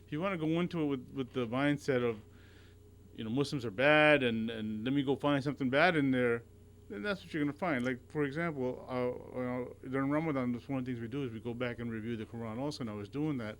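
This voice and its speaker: A man as a radio show guest, talking in casual conversation, earnest and warm, stumbling over his words and restarting often. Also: mid-range voice. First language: not Arabic. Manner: halting, with many restarts and repeated words.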